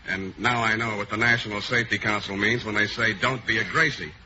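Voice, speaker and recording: A man playing a tired, hard-boiled private detective in a 1940s radio comedy, trading dry, deadpan wisecracks. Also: fairly low pitch, brisk, muffled recording, natural voice. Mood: weary, deadpan. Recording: hissy 1940s radio broadcast.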